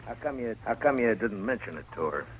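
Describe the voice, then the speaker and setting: An American actor playing a steady, dogged Old West marshal, laconic and firm, in 1950s radio drama style. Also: male voice, medium pitch, brisk, close to an everyday voice. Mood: polite, probing. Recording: noisy old radio recording.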